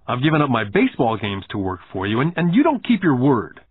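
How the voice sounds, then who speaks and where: A man's voice: lowish voice, animated, quick pace, dry recording. A man voicing an indignant, pouting nine-year-old boy who blurts out aggrieved complaints in an audiobook. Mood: angry, nearly tearful. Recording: muffled, phone-like narrow band.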